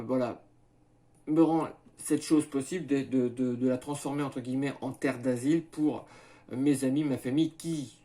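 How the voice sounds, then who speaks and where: Hesitant man in his thirties, halting. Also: medium pitch, mellow. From France, Maine-et-Loire. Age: 30s. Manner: halting, many filler "euh" and restarts, methodical point by point. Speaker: small farm owner presenting his project. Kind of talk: hesitant self-presentation to camera.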